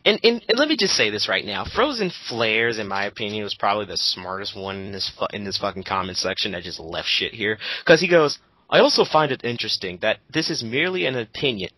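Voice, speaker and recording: A woman's voice: a bass voice, lively intonation, fast, fairly bright tone, breathy edge, low-quality recording. A woman giving casual, opinionated commentary in an emphatic, sarcastic way, with some swearing. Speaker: co-host of an online dramatic reading.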